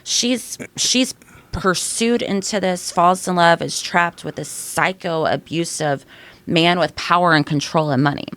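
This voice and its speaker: A woman's voice: on the low side, measured pace, a bit bright. A woman from Illinois with a youthful, almost babyish voice, speaking candidly as a guest in a podcast studio interview, retelling her story with many restarts. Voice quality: young-sounding, babyish voice. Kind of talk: casual interview chat.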